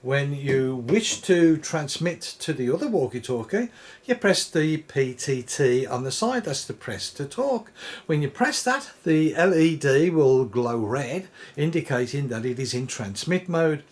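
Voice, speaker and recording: A man's voice: on the high side, sing-song, unhurried. A man giving a live how-to demonstration, explaining in a plain, patient way. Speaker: product reviewer.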